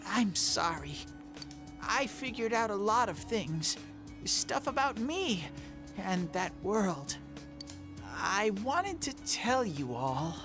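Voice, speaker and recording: Earnest, swooping little bear creature, music behind. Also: female voice, mid-range voice, very animated. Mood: sheepish. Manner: earnest, halting, apologetic. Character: small earnest talking bear creature, a shadow, male. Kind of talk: video game voice acting.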